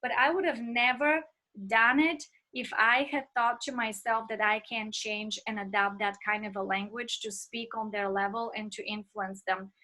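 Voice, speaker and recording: Middle-aged woman with an accent, ministry teacher; bright, a little high, earnest and anecdotal. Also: steady tone, normal speed. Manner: speaks with an accent; earnest, personal anecdotes, frequent fillers. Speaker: ministry instructor. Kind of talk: recorded online class lecture.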